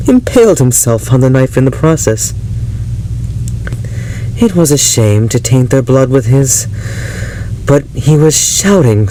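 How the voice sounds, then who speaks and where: Slow, unhinged obsessive man, played by an actor. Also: mid-range voice, warm tone, a little hiss or hum, poor audio. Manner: halting, brooding, breaking into unhinged laughter and intensity. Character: unhinged obsessive yandere ex-lover confessing to his therapist. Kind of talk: dramatic audio roleplay monologue.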